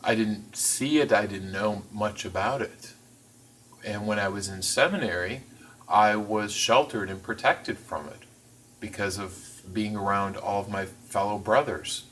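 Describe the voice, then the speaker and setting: A man voicing a signed interview as interpreter, phrasing things in a measured, careful way with the occasional restart. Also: on the low side, a bit bright, far from the mic, a little hiss or hum, low-quality recording. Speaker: sign language voice interpreter.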